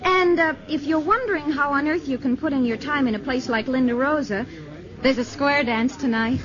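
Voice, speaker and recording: A woman's voice: high voice, moderate pace, highs cut off, a crowd in the background, close to an everyday voice. An actress playing an eager, friendly, insistent young small-town woman in a 1950s radio drama. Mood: bright, insistent.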